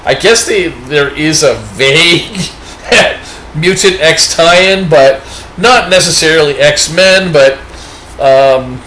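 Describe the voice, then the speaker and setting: Brief: upbeat Canadian male comic reviewer, bright slightly high voice, rambling casual show-and-tell. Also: slow pace, slight background noise. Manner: rambling and upbeat, with many fillers. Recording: echoey room.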